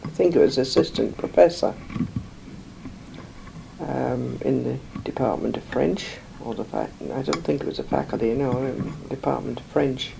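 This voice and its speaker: An older woman from England, slowly recalling her life in an interview, rambling with many pauses and fillers. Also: a bass voice, creaky, noisy background. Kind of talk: oral history recollection.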